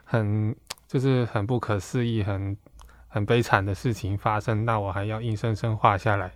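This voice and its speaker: Low-voiced man, halting interviewee. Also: steady tone, no echo. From Hong Kong. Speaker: podcast guest, comic artist. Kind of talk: reflective interview talk.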